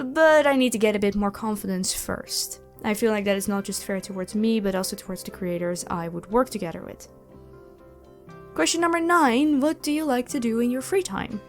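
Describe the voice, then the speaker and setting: A woman talking casually and animatedly to her listeners, in a self-effacing way, like a creator answering questions. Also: fairly high pitch. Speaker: audio roleplay creator, channel host. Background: background music.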